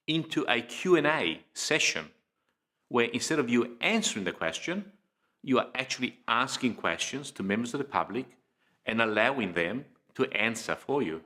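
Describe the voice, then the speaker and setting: A man delivers an informative training lecture in a bright, forceful voice at a middling pitch. He speaks deliberately, breaking his sentences into short phrases and leaning on key words. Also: sing-song. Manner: measured, deliberate, emphatic phrasing with pauses. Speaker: presenter of public speaking training video.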